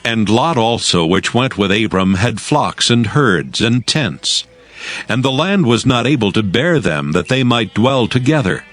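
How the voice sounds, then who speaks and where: A man narrating scripture as a scripted dramatized audio reading, in a solemn, measured storytelling manner. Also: medium pitch, animated, fairly bright tone. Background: music.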